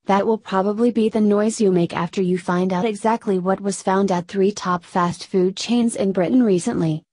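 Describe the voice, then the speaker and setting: A woman reading a news article aloud in a steady, scripted delivery. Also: medium pitch, no room sound. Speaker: news reader.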